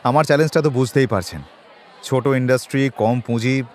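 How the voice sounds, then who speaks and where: A man acting a polite, flattering, modest businessman at a party in an audio drama. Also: mid-range voice, a little fast, some chatter behind, close to an everyday voice. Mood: admiring, polite. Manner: deferential, polite. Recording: faint background noise.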